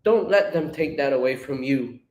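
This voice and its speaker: A man voicing a brave, tender woman with a soft, earnest, pleading manner, in an echoey room. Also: medium pitch, expressive, normal speed, natural voice. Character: brave, tender female human, pleading with a friend.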